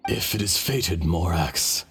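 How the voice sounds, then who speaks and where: A man's voice: low-pitched, animated, slow pace, creaky, fairly bright tone, slight background noise, full character voice. A man voicing an ancient dragon in human form in a video game, solemn, philosophical and resigned, speaking in formal, poetic lines. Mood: resigned, stately. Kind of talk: scripted video game dialogue.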